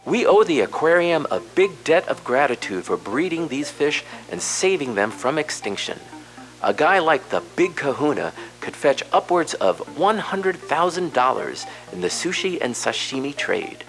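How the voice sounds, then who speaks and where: A man's voice: on the high side, very animated, moderate pace, a bit bright, faint music behind, rough recording. A man acting as a showy, enthusiastic television nature documentary announcer in an audio play, emphatic and authoritative. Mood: showy, informative.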